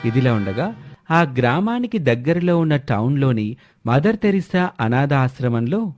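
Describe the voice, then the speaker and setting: A man's voice: medium pitch, big pitch swings, unhurried, a little mellow, soft background music. A man narrating a scripted moral story.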